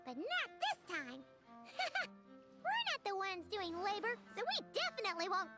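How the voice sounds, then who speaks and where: Squeaky, very high-pitched little fairy companion. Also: female voice, wildly swooping pitch, unhurried, heavily acted. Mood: excited, chatty. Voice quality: squeaky, childlike. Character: tiny excitable childlike fairy companion, female, naive and chatty. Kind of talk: video game voice acting. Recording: music behind the voice.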